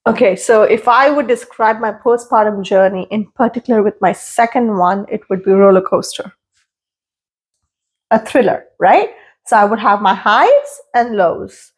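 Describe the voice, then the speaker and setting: A woman's voice: medium pitch, normal speed. A woman in her thirties, from India and raised in London, speaking as a podcast guest in an earnest, emphatic way and repeating phrases for emphasis. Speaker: guest, registered nurse. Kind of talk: casual podcast interview.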